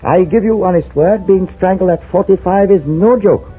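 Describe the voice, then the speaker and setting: A man's voice: high-pitched, normal speed, slightly rough, soft background music. A man playing a very fat, fatalistic Chinese merchant of forty-five in a radio drama, speaking polite, formal broken English with a put-on Chinese accent. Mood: solemn, matter-of-fact. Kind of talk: 1950s radio drama acting. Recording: tinny, noisy old-time radio recording.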